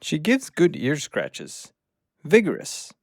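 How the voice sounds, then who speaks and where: Man voicing a lively, plucky little dog. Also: on the high side, takes it slowly, no echo, studio quality, full character voice. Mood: touchy, frank. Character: plucky, touchy little dog with short legs. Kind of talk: audio drama acting.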